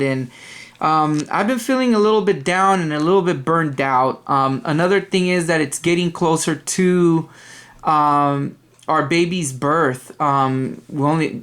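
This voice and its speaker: A young man with a loud voice, rambling casually in a video game haul vlog, full of ums and restarts. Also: fairly high pitch. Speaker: video game collector vlogger. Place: apartment dining room at night.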